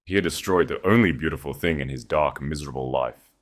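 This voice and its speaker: A man narrating a dramatic historical romance audio story in a measured, scripted delivery. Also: a bass voice.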